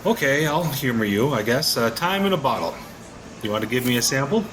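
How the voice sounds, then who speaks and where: A man's voice: medium pitch, animated, a little fast, fairly bright tone, slight background noise, lightly acted, poor audio. A man with an American accent playing a skeptical, sardonic ordinary husband in an audio drama, hesitant but curious, with wry colloquial delivery. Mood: skeptical, humoring. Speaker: actor in an audio play. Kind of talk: audio drama acting in casual American talk.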